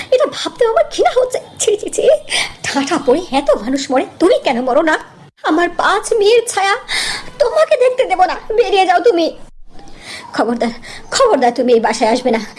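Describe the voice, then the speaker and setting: A woman's voice: sits high, a little mellow. A woman voicing a fiercely protective, hard-pressed mother of five in a cartoon, with an emphatic, dramatic delivery. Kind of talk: cartoon voice acting.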